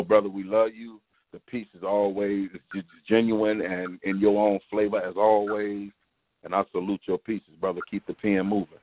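A man's voice: on the low side, dry recording. A man talking casually and earnestly on a radio show, in a brotherly, encouraging way. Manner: earnest, brotherly, repeats "man" and "you know". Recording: muffled dry call-in radio line.

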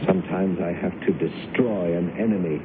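An actor in a radio drama playing a menacing time traveler from another planet who poses as human, deliberate, accusing and coldly insistent. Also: male voice, fairly even intonation, natural voice. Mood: cold menace. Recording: muffled lo-fi old radio broadcast, rumbling hiss.

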